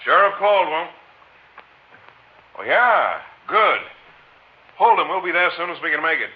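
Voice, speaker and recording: A man's voice: fairly high pitch, very animated, a little slow, husky, full character voice. A man playing a plain-spoken county sheriff in a 1950s radio western, talking in a folksy, colloquial way. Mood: brisk. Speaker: supporting actor in a radio western. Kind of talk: 1950s radio drama acting. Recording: muffled vintage radio recording.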